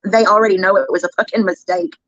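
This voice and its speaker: A man rants casually at a rapid clip, swearing and sounding sarcastic and aggrieved. His voice sits a little high and has been altered in playback speed. The sound is dull and dry. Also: steady tone, a bit bright, no room sound. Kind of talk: casual rant. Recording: dull, lo-fi replayed stream audio.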